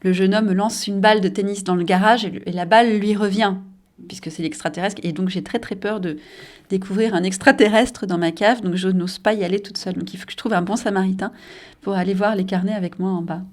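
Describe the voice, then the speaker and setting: A woman's voice: medium pitch, steady tone, fairly quick. Thoughtful French woman novelist, interviewed. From Paris suburbs, France. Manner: thoughtful, hesitant with many fillers. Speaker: novelist and journalist.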